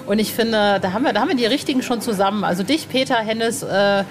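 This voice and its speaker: A woman's voice: medium pitch, fast talker, over music. A woman chatting casually and colloquially as a podcast interviewer, agreeable in manner.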